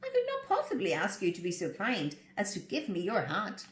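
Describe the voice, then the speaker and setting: A woman's voice: medium pitch, huge pitch swings, brisk, echoey room, a little hiss or hum. A woman voicing a tiny, miserable and meek female mouse in a story reading. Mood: meekly pleading.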